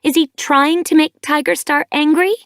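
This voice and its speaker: A woman's voice: a little high, normal speed, dry recording, clean studio sound, voice pushed up, lightly acted. A woman reading an audiobook, voicing a young she-cat mother who is grieving and angry, with a raised, accusing voice. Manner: accusing, bristling.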